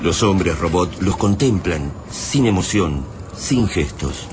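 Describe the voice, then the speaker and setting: A man playing a grave storyteller, narrating a radio drama in measured, literary Argentine Spanish. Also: low-pitched, animated. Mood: solemn, measured. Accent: Argentine Rioplatense. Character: grave, solemn male storyteller of doom. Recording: noisy sound-effects bed behind narration.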